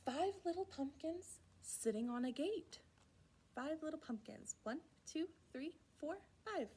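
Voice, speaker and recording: High, animated woman reading to young children. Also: measured pace, a little hiss or hum. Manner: playful, encouraging, exaggerated child-directed delivery. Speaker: children's library storyteller. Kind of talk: animated read-aloud and singalong for young children.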